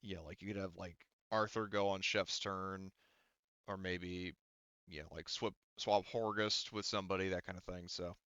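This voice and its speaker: A man's voice: sits low, steady tone, normal speed, bright, forceful tone, clean tone, no echo, dull audio. A man casually narrating and talking players through a tabletop game as game master.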